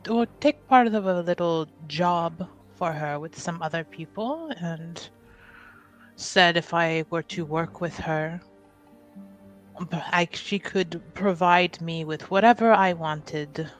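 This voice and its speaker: Woman playing a halting, guarded noblewoman. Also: on the low side. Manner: halting, evasive, formal wording.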